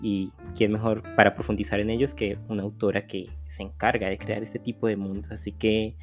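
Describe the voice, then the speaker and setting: Low-voiced male podcast co-host. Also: little pitch movement, fairly quick, faint music behind. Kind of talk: casual podcast interview.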